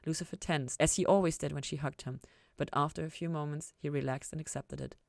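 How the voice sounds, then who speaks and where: A woman's voice: low-pitched, fairly even intonation, a little fast, dry recording, clean studio sound. A woman reading fan fiction aloud as scripted story narration.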